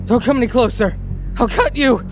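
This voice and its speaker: A woman's voice: fairly high pitch, normal speed, music in the background, natural voice, poor audio. A woman voicing a slight, defiant, suspicious teenage robber who blusters and threatens in a fantasy audio drama. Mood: panicked, threatening.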